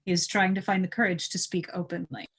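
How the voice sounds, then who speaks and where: A woman's voice: lowish voice, steady tone, fast, breathy edge. A woman narrating stage directions at a live table read of a film script.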